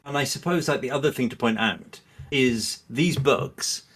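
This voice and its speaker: A man's voice: mid-range voice, moderate pace, fairly bright tone, breathy edge. A man as a podcast guest, explaining his opinions in a rambling, conversational way with plenty of hedging. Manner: rambling, hedging, acts out imagined quotes. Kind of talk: casual chat, explaining opinions.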